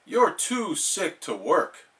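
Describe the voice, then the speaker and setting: A man voicing a gruff, bossy cartoon crab boss with a blunt, commanding manner. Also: fairly high pitch, huge pitch swings, takes it slowly, fairly bright tone, distant mic, voice pushed up, exaggerated voice. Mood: firm.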